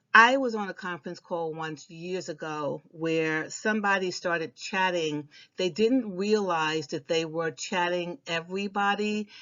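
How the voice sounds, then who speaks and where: The recording is slightly dull and lo-fi. A woman with a fairly low voice presents practical instruction in an informal, conversational style. She repeats key advice for emphasis.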